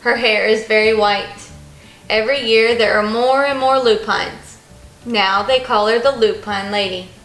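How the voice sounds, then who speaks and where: A woman reading a children's picture book aloud as an expressive storyteller. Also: fairly high pitch, a little slow. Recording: roomy echo, faint music behind.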